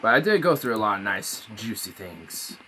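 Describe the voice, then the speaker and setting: A man's voice: lowish voice, big pitch swings, moderate pace, sharp tone, slight background noise. Laid-back rambling male streamer. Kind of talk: casual live-stream chat. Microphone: phone.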